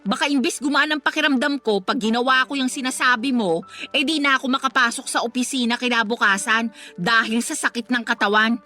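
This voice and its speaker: High, bright-voiced complaining girlfriend, radio drama. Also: fairly quick, faint music behind. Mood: combative. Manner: complaining, combative. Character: sharp-tongued young woman, girlfriend.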